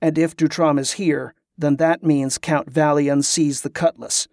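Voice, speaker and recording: A man voicing a calm, resolute leader of a party in an audiobook story. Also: a little high, a little slow, no echo, studio quality. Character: Teska, calm, decisive male leader.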